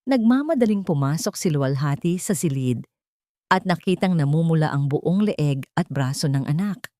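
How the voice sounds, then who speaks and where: Audio story narrator: woman, somewhat low, dark mellow tone, dry close sound, scripted melodrama. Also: expressive, moderate pace, no room sound.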